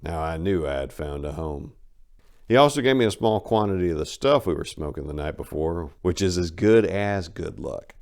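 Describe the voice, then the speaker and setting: A man's voice: low voice, expressive, moderate pace, darkish tone. A man reading a first-person story as dramatic audiobook narration, in a folksy, colloquial storytelling manner.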